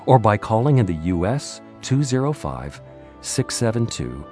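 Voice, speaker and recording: A man reading scripted narration as an announcer, earnest and measured. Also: lowish voice, sing-song. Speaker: advertisement announcer. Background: faint music.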